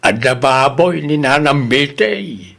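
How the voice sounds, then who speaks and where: Very animated Ilocano father, played by a man. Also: mid-range voice, slow pace, gravelly, full character voice. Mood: easygoing. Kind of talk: Ilocano audio drama acting.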